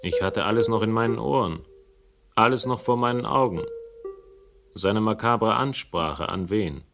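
An actor playing a sardonic, agitated Londoner caught up in a murder case, in a radio play with first-person narration. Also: male voice, fairly low pitch, a little slow, music in the background. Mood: haunted, agitated. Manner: biting, indignant, sarcastic.

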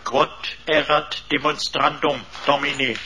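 An actor playing a pompous, servile computer assistant in a sci-fi radio play, speaking in stilted, flowery, over-formal phrases. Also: male voice, a little high, little pitch movement, takes it slowly, noisy background. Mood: pompous, deferential.

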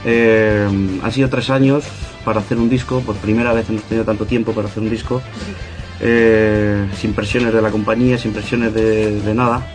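A man's voice: lowish voice, flat delivery, normal speed, faint music behind. A musician with an accent from Spain answering interview questions, often tagging his phrases with a little question. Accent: Spanish, from Spain. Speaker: band musician being interviewed.

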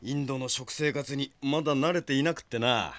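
A man's voice: medium pitch, fairly quick, fairly bright tone, close to an everyday voice. An actor playing a brash, impassioned French swordsman bent on avenging his sister in a Japanese audio drama. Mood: grumbling.

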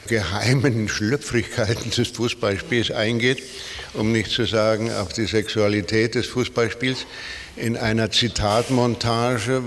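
Wordy man in an interview, faint noise. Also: fairly low pitch. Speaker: commentator on a writer's work. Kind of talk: spoken recollection in an interview. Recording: faint noise, small clicks.

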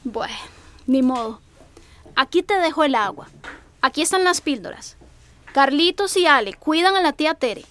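A woman's voice: sits high, animated, moderate pace, pauses often, a bit bright. A woman playing a compassionate, anxious migrant mother in a radio drama, speaking with earnest emotion. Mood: worried. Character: worried, compassionate migrant mother standing her ground. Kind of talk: Spanish-language radio drama acting.

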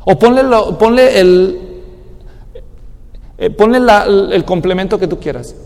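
A man's voice: high voice, lively intonation, unhurried, gravelly, darkish tone. A man preaching a sermon in an emphatic manner, now and then mimicking people's excuses. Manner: emphatic, acts out excuses in a mocking tone. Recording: long hall reverb.

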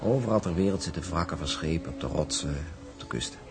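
A man's voice: extremely low pitch, animated, fast, gravelly, exaggerated voice. An actor playing a scheming, indulgent businessman in a radio drama, cajoling and condescending. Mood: patronising explaining. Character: scheming, indulgent older businessman doting on his sweetheart. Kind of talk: Dutch radio drama acting. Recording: music behind the voice.

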